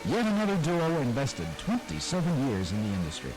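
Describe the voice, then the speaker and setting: A man's voice: on the high side, wildly swooping pitch, brisk, music in the background, rough recording. A man reading a scripted narration for a documentary-style program. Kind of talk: scripted narration about radio history.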